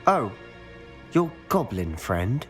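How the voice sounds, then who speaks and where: Game role: impatient, intense teenage male student wizard, highly animated pitch, cutting delivery, music underneath. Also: slow pace. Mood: angry, defiant. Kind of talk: video game cutscene acting.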